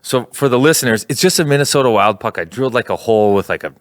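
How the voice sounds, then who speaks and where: A man's voice: medium pitch, animated, quick pace, no echo. A man hosting a sports podcast, telling stories in casual banter and repeating phrases for emphasis. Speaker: podcast host. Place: podcast studio.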